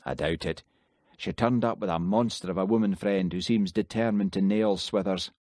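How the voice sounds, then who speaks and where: A man's voice: low-pitched, moderate pace, darkish tone, no echo, natural voice. A man reading an audiobook, voicing a grudging, heartless detective who makes sardonic remarks. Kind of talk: audiobook character voice.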